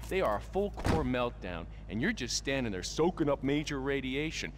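A man's voice: medium pitch, sing-song, moderate pace, background noise, natural voice. An actor playing a brash, boastful rich wheeler-dealer in a video game, with a smug, slangy salesman's manner. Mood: boastful, preachy. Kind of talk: video game cutscene acting.

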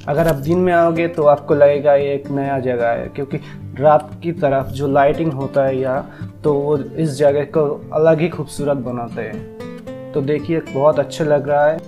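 Male travel vlogger, slightly high voice, casual guiding narration, clear background music. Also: fairly even intonation, a little slow.

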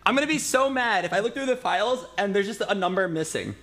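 A man's voice: high-pitched, lively intonation, fast, music in the background. A man in his twenties chatting casually as a streamer while he directs a recording session. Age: 20s. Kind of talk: casual chat, giving directions. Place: indoor streaming room.

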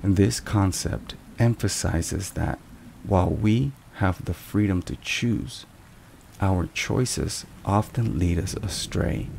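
A man giving a hushed, solemn, measured devotional narration in a soft ASMR style. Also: deep voice, vocal fry. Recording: rain and thunderstorm noise behind. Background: steady rain and thunder.

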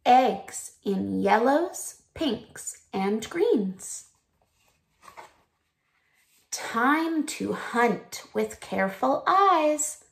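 A woman in her thirties, speaking deliberately as a teacher presenting read-alouds and crafts for children, recorded in a small room at home. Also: a little high, big pitch swings, vocal fry.

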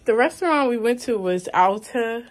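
A young woman in her twenties chatting casually to her phone camera, rambling with fillers. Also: on the high side. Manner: slow, relaxed, rambling with fillers. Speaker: vlogger. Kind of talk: casual vlog chat. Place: small cabin rental indoors. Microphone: phone held at arm's length.

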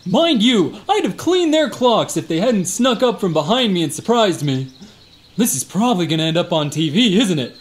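An actor playing a boastful, bumbling security guard in a comic radio play, with an exaggerated manner. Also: male voice, high voice, sing-song, moderate pace. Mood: bumbling bravado. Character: boastful, dim, attention-hungry male security guard. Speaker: temple guard witness.

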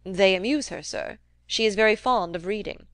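Woman voicing a sulky, polite young gentleman. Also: mid-range voice, moderate pace, dry recording, clean studio sound, natural voice. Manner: stiffly polite, subdued.

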